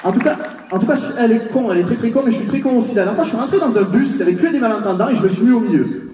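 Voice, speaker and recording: Fast-talking comedian, distant echoing stage. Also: male voice, very high-pitched, expressive, crowd noise, noisy background. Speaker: stand-up comedian. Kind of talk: stand-up comedy set. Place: open-air ancient theatre stage. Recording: distant, echoey open-air stage with audience.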